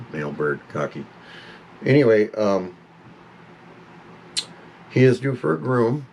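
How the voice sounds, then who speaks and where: A man in his fifties chats at home about his parrot. His voice sits very low with a gravelly fry, and his talk wanders and breaks off to scold the bird. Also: very animated, slow pace, darkish tone, a little hiss or hum, rough recording. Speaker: pet owner vlogger. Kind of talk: casual talk to camera.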